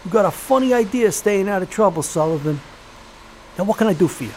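A man's voice: high-pitched, lively intonation, a little fast, a little mellow, a little hiss or hum. A man playing a sly, menacing American crime boss in a period gangster audio drama, full of streetwise slang and theatrical glee. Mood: sly probing.

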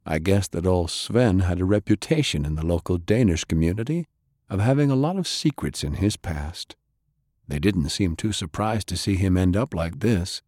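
A man acts out an easygoing magic knight in a pulp audiobook. He talks plainly and conversationally, explaining as he goes, in a low voice with a dry, close sound. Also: lively intonation, normal speed, a little mellow, no echo. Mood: casual.

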